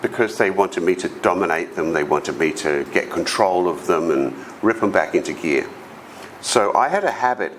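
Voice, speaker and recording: A middle-aged man with an Australian accent and a big voice tells personal stories to a conference audience. His speech sits low but swings widely as he acts out quoted voices. A faint hiss runs under the recording. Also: low-quality recording. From South Australia. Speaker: conference speaker. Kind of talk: storytelling presentation. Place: conference hall.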